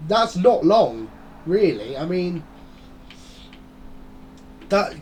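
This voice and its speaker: A man's voice: fairly high pitch, a little mellow, a little hiss or hum, poor audio. A man giving casual, rambling commentary while playing a racing game. He has a blocked nose from a head cold and breathes through his mouth. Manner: rambling, chatty, muttering asides. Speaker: gaming channel host.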